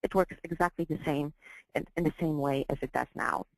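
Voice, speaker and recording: A woman working as a software trainer, walking through slides in a deliberate, somewhat hesitant way and repeating phrases as she explains. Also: sits low, steady tone, no echo, low-quality recording. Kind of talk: slide-based training walkthrough.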